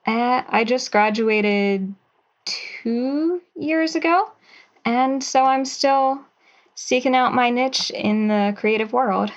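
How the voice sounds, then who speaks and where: A young woman in her twenties, a writer, answering slowly and thoughtfully in an interview. Her voice comes through somewhat dull and muffled, like a remote call. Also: on the high side. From Pennsylvania, USA. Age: 20s. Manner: measured and thoughtful, with some hesitation fillers. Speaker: writer and guest.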